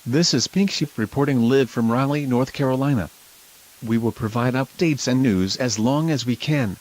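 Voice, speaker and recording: A man playing a local TV news anchor in a scripted emergency broadcast, speaking in a formal, measured way. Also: mid-range voice, darkish tone, close to an everyday voice, rough recording. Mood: grave, urgent. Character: local TV news anchor reporting a nuclear attack.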